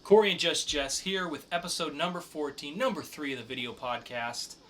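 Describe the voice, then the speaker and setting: Bright-voiced man chatting on a noisy podcast. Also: on the high side, a little fast. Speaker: podcast co-host. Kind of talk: casual pop-culture news chat.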